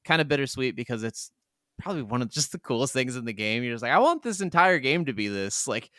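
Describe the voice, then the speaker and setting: A man's voice: mid-range voice, sing-song, fast, pushed and bright, clean tone, dry recording, clean studio sound. A man co-hosting a podcast in an enthusiastic, emphatic, casual way, swearing freely as he talks. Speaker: podcast co-host. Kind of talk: casual video game discussion.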